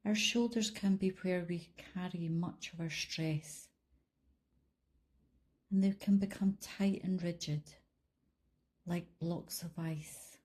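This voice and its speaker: A woman leading a guided body scan meditation in a calm, soothing, unhurried manner, leaving long pauses between instructions. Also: on the low side. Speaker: meditation guide, life coach.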